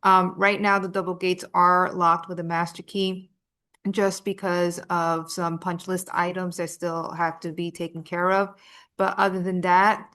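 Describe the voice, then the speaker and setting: A woman with a Spanish accent and lowish pitch reporting local updates at a council meeting. She talks in a relaxed way with light humor. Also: measured pace. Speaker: council member giving updates.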